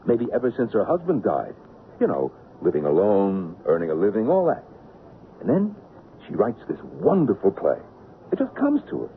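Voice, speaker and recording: An actor playing a persuasive, excitable Broadway producer in an old-time radio drama, with a theatrical, emphatic delivery. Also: male voice, on the low side, normal speed. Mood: incredulous, placating. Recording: noisy, narrow-band old radio recording.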